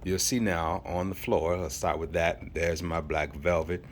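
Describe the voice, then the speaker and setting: Deep-voiced man giving a casual walkthrough. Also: steady tone, unhurried. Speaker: home theater hobbyist showing his setup. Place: indoor home theater room. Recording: clearly noisy handheld home video.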